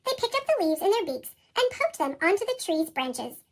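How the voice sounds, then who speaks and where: A woman reading a children's storybook with expressive delivery, her voice shifted up by a chipmunk effect. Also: moderate pace. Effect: pitched-up chipmunk voice effect. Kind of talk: scripted read-aloud storybook narration.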